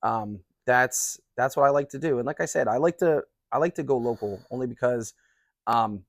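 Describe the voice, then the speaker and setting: A man in his forties chats casually as the host of a review. His voice sits at an average pitch and is recorded dry, with little room sound. He rambles a little and comes across as polite and appreciative. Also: moderate pace, creaky, no room sound. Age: 40s. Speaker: cigar reviewer and video host. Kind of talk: casual chat.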